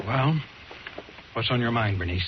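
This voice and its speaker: An American actor playing a stubborn, hard-boiled talent agent in an old-time radio drama, dramatic and tough-talking. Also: male voice, medium pitch, animated, normal speed, heavy background noise, lightly acted. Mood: insistent, skeptical. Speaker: radio drama lead and narrator. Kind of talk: old-time radio drama acting and first-person narration. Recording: muffled vintage radio with music.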